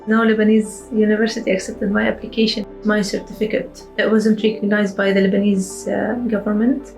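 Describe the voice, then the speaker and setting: A young woman in her twenties from Syria, a student ambassador, telling her own story in a slow, careful testimonial. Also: mid-range voice, flat delivery, vocal fry, low-quality recording. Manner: slow, careful speech with pauses. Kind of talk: testimonial in a promotional video. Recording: soft guitar music behind.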